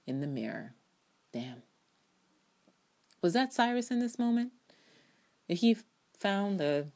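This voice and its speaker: A woman with a low voice performs her written review as a dramatic reading. She is emphatic and sassy, dropping blunt asides and slang into the scripted text as a reviewer would. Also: warm tone.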